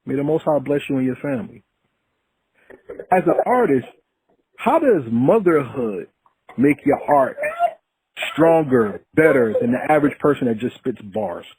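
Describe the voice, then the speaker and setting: A man's voice: medium pitch, very animated, a little slow, long pauses, a little mellow, rough recording. A man hosting a hip-hop podcast, asking questions in an earnest, preachy way. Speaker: podcast host. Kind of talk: casual interview.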